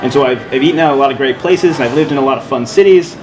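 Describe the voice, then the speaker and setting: A male winemaker co-hosting a podcast, telling his story in a relaxed, rambling way with many fillers. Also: mid-range voice, rapid-fire. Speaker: winemaker and co-host. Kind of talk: casual podcast chat. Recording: steady background noise.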